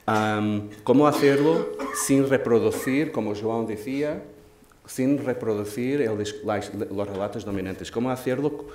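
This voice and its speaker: A man's voice: medium pitch, darkish tone. Portuguese man speaking Spanish, echoey hall. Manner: thoughtful, pausing with hesitations and restarts. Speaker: curator and panel moderator. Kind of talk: panel discussion talk. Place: large dark auditorium stage. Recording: echoey hall with faint noise.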